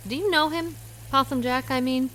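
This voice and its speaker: A woman's voice: high-pitched, steady tone, normal speed, a bit bright, a little hiss or hum. An actress playing a worried amateur detective who narrates her own story in a radio drama. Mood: anxious. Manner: earnest, wry first-person narration. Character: worried amateur detective woman, diner owner.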